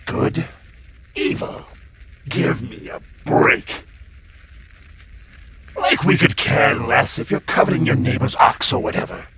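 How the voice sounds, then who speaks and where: A man's voice: medium pitch, wildly swooping pitch, takes it slowly, pauses often, background noise, full character voice. A man playing a glib, sleazy demon guide of hell in a comic dub, full of slangy, theatrical sales patter. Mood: dismissive, smug. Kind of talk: comic-book dub voice acting. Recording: loud music under the voice. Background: prominent music.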